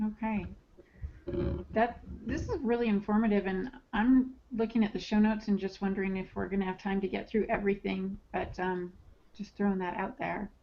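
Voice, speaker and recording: Female podcast moderator, average pitch, conversational delivery. Slightly muffled, lo-fi sound. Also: steady tone, normal speed. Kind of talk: casual chat. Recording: dull lo-fi audio.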